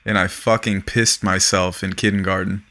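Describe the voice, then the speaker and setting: A man's voice: low-pitched, level tone, normal speed, sharp tone. A man who grew up outside the US, chatting on a podcast in a blunt, profane, slangy way. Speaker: podcast co-host. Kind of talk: casual chat.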